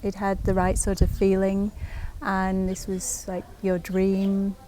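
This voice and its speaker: A woman's voice: medium pitch, completely flat delivery, takes it slowly, warm tone. A woman presenting an interview, talking in a casual, encouraging way and often agreeing with short replies. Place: outdoors and indoors at a country hotel. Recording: faint background noise.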